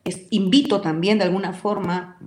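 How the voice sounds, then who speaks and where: A woman's voice: medium pitch, steady tone, normal speed, a little mellow. A woman from Peru with a Peruvian accent, a guest in a radio interview who speaks her opinions in a vehement, passionate way. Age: adult with a decade living abroad. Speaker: interview guest, talk show host and commentator. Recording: roomy echo.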